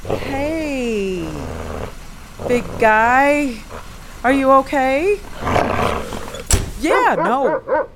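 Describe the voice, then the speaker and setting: A guarded, wry grown daughter in an audio drama. She speaks high and unhurried, with expressive intonation, in a naturalistic way. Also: heavy background noise, exaggerated voice. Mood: wary, wry. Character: dry, independent grown daughter on the road. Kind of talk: naturalistic audio fiction acting.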